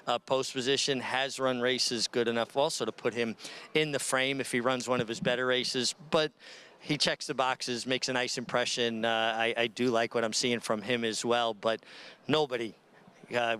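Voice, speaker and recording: A middle-aged man sizing up racehorses in the paddock, enthusiastic and conversational. His voice is bright and clear, with a crisp, resonant tone. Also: mid-range voice. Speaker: paddock analyst. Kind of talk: racing paddock report.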